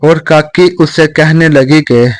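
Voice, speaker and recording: A man's voice: fairly high pitch, takes it slowly, faint music behind. A man narrating a scripted children's story as a close, dry voice-over.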